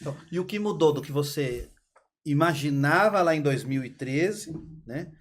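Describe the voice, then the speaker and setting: A man's voice: a little high, expressive, moderate pace, slight background noise. A man hosting a casual interview podcast, asking the guest friendly, prompting questions. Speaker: podcast host.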